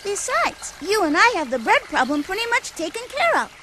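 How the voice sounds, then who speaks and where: Young game boy, very high voice, lively pitch, naive, cheerful, inquisitive. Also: normal speed, a little hiss or hum, exaggerated voice. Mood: cheerful, earnest. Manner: earnest, inquisitive. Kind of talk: video game cutscene voice acting.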